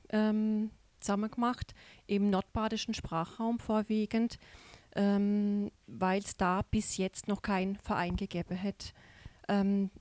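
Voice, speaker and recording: A woman's voice: mid-range voice, a little mellow, no echo. A middle-aged woman from Baden with an Alemannic Baden German accent, chatting as a guest in a studio interview with lots of filler sounds. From Baden, southwest Germany. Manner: chatty, many "ähm" fillers. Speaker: guest, dialect society chair. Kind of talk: relaxed interview chat.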